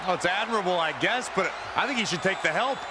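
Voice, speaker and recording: A man playing an opinionated, excitable wrestling commentator in a video game, calling the action dramatically. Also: sits high, fast, over a noisy crowd, heavy background noise.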